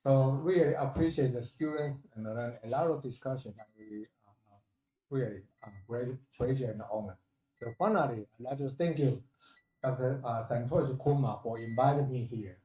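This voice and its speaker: An older man with a Taiwanese accent speaks haltingly and humbly, giving a thank-you address as a professor. His voice is soft-edged and mellow, recovering after he lost it, and the room echoes around him. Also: medium pitch. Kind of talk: valedictory thank-you speech. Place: indoor hall at a university ceremony.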